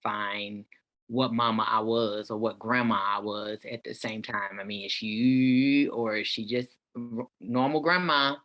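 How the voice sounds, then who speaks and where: A woman's voice: very deep voice, steady tone, measured pace, a bit bright. A woman actor in a casual discussion on a video call, informal and searching as she thinks aloud. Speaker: actor at a table read. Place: indoors on a virtual meeting.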